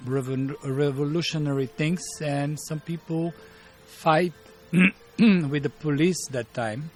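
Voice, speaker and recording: A man in his fifties with a Bulgarian accent and a fairly high voice. He tells stories in an interview, stopping often to find the English words. Faint music plays behind him. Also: steady tone, a little mellow. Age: 50s. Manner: halting, searching for words in English. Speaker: martial arts teacher, guest. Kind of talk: interview storytelling.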